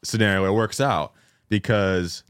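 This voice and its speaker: A man's voice: fairly low pitch, unhurried, clear, resonant tone, dry recording. An American man in casual podcast chat, arguing his points in a wry, rambling way. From United States. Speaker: podcast co-host. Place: podcast studio.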